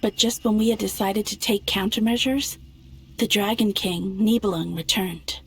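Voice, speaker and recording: A woman playing a grave, stately moon goddess in a game cutscene, speaking calmly and deliberately in formal phrasing. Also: mid-range voice, natural voice. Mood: resigned. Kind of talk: dramatic game cutscene acting. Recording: background music under the voice.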